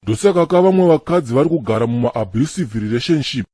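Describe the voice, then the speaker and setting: Pragmatic cartoon husband, acted by a man: rapid, husky delivery, Shona with English, defending men. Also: medium pitch, expressive, mellow, no echo, studio quality. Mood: defensive. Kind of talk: animated comedy cartoon dialogue, Shona mixed with English.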